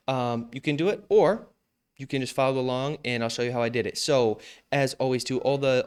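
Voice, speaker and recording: Young male music tutor, clear resonant voice, laid-back slangy delivery. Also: mid-range voice. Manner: relaxed, slangy, self-joking. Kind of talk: casual screen-recorded mixing tutorial.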